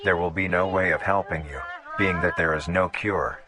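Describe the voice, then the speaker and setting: A man reading scripted nature documentary narration in a measured, formal, instructional manner. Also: deep voice, steady tone. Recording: voice over background music.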